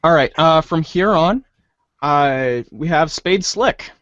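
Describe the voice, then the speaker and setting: A man reads as a storybook narrator with lively swings in pitch. He hesitates and corrects himself as he goes. The recording is dry and slightly muffled. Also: slow, dry recording. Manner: hesitant, self-correcting delivery. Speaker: co-host and fill-in narrator. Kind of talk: read-along storybook narration.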